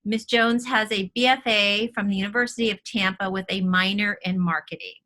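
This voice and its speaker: Bright-voiced woman hosting an art talk. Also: mid-range voice, steady tone, fairly quick. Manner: polished, welcoming, reads a prepared intro. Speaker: host. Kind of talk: scripted introduction and interview. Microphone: video call.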